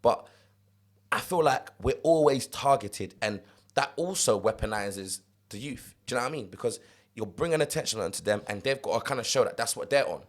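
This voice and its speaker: A young man from South London with a London accent, co-hosting a casual podcast chat, animated and repeating words as he gets going. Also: mid-range voice, a little fast. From South London, England. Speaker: podcast co-host.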